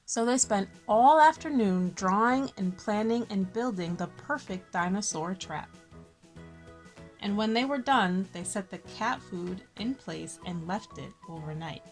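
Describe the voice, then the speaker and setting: Female children's storyteller, expressive read-aloud, mid-low pitch, key words drawn out, music underneath. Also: unhurried. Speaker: children's story-time host and reader. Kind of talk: picture book read-aloud with friendly asides.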